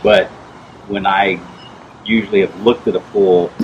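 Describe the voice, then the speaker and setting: Deep-voiced middle-aged man explaining a pool. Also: flat delivery, lo-fi sound, background noise. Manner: plain, measured, explanatory, with frequent "uh" and "um". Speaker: pool inspector. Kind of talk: on-site explanation to camera. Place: outdoors in a backyard by a swimming pool.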